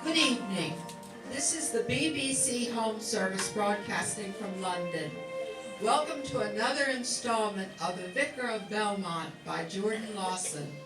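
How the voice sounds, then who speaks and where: A medium-pitched voice over music, a woman acting a formal radio announcer. The reading is measured and scripted, in a roomy recording. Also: animated, far from the mic, lightly acted. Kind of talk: scripted old-time radio introduction.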